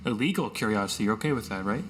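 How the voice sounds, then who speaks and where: A man's voice: fairly low pitch, animated, racing, crowd noise. A man improvising casually as a player in a tabletop game, a little hesitant and wry.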